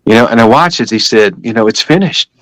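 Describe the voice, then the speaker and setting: A man's voice: medium pitch, animated, quick pace, a bit bright, no echo, poor audio. An older man giving a personal religious testimony as a guest, telling it in an emphatic, repetitive, conversational way.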